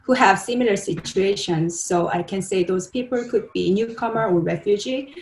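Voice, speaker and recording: A woman from Korea, speaking English, explains her filmmaking view carefully and earnestly. Her mid-pitched voice sits in a roomy, echoing space. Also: normal speed, slight background noise. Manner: careful, earnest, simple phrasing. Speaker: short filmmaker.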